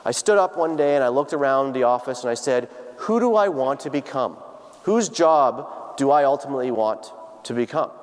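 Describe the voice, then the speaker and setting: A man's voice: medium pitch, animated, normal speed. A man in his thirties giving a personal, earnest lecture to students, often restarting his sentences with fillers. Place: large lecture hall. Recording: long hall reverb.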